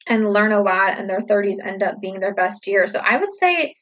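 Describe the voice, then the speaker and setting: Husky-voiced chatty woman in her thirties. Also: medium pitch, animated, normal speed, fairly bright tone, dull audio. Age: 30s. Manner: upbeat, chatty, reflective with many fillers. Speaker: vlogger answering viewer questions. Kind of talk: casual Q&A chat to camera.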